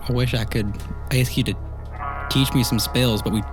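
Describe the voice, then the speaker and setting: A man's voice: medium pitch, steady tone, moderate pace, music in the background. A man playing a tabletop roleplay game, talking casually in character.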